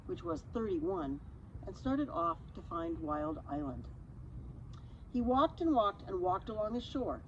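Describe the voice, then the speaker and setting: A woman reading a children's story aloud in an expressive, chatty manner, slipping in casual asides and simple explanations. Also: a little high, dull audio, slight background noise.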